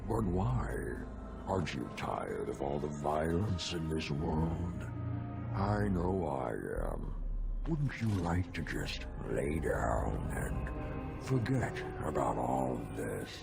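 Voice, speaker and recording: An actor playing a languid, seductive sloth demon in a video game, slowly drawling and lulling with gravelly, weary persuasion. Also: male voice, extremely low pitch, sing-song, over loud music, full character voice. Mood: lazy, lulling. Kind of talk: video game villain acting.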